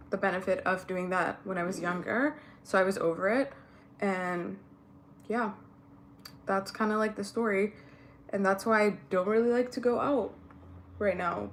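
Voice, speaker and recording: Young woman from Ethiopia, non-native English speaker, casual chatty vlog talk, mid-pitched voice, faint background noise. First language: not English. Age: young adult. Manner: chatty and candid, many fillers. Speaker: vlogger. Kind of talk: casual get-ready-with-me chat.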